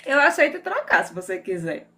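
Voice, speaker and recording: A woman's voice: high voice, very animated, moderate pace, bright, forceful tone. A woman from Northeast Brazil with a Northeastern Brazilian accent, chatting playfully as a guest author on a live video call from home. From Northeast Brazil, Mossoró. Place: home room with air conditioning.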